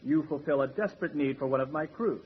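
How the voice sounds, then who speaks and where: An actor playing a suave, menacing recruiter of sailors, speaking formally and with cool politeness in an old-time radio horror drama. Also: male voice, fairly high pitch, quick pace, close to an everyday voice. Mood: smug menace. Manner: formal, wordy, coolly polite. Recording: muffled, noisy radio drama recording.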